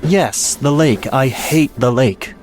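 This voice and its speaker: A man playing a gruff, fatalistic steam train engineer in an audio drama, speaking in a terse, blunt way. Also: mid-range voice, slow pace, noisy background, lightly acted. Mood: uneasy, grumbling. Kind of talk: amateur audio drama acting.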